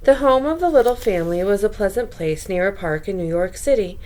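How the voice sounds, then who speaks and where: A woman reading a children's book aloud as a bedtime storyteller, expressive, with chatty asides to young listeners. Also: mid-range voice, a little fast, poor audio.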